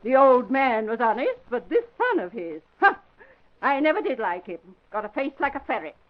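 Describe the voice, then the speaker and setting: An actress playing a blunt, feisty older spinster with a folksy, tart manner in an old-time radio drama. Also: female voice, on the high side, big pitch swings, moderate pace. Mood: indignant, tart. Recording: dull vintage radio recording.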